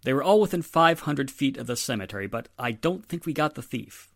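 A very low, dry-sounding police inspector, acted by a woman in a forties radio play. He is earnest and methodical, setting out matters with steady authority. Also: fairly quick, no echo, exaggerated voice. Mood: matter-of-fact. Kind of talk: 1940s radio drama acting.

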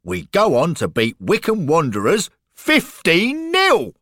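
A man voicing a cheery, keen guide to club history in a story, brisk, enthusiastic and informative. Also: medium pitch, very animated, fairly bright tone, no room sound, studio quality, exaggerated voice. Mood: proud, encouraging.

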